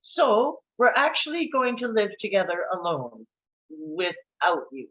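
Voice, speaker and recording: A woman's voice: mid-range voice, sing-song, unhurried, muffled recording. A woman playing a smug, prim roommate at a comedy screenplay table read. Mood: smug, condescending.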